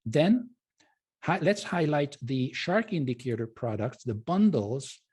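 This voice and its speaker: Mellow man. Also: medium pitch, big pitch swings, a little slow, husky. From Hungary. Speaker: presenter and trading educator. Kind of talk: informal live webinar sales presentation.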